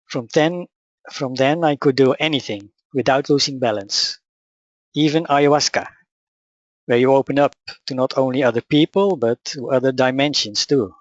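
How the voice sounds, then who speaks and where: Dutch-accented man, calm and measured. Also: mid-range voice, no echo, lo-fi sound. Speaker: spiritual teacher. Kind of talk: personal account and teaching talk.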